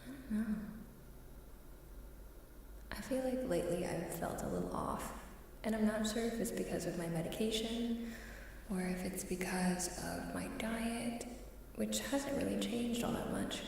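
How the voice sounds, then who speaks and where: Slow, whispery woman in heavy echo. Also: mid-range voice, darkish tone. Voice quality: breathy, often whispery. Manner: slow, hesitant, halting, apologetic. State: unwell, queasy. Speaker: live streamer. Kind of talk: soft ASMR stream chat, often dropping to a whisper. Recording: very echoey, distant, faint background noise.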